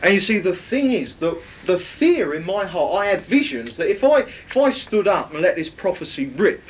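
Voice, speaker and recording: A man with a loud, projecting voice, preaching a rambling, anecdotal sermon with many fillers. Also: high-pitched, sing-song, normal speed, lo-fi sound.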